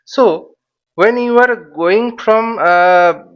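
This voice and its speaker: A man giving an informal tutorial in a halting, step-by-step way, repeating phrases and checking with short questions. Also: high voice, dull audio. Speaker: flight simulation instructor and presenter.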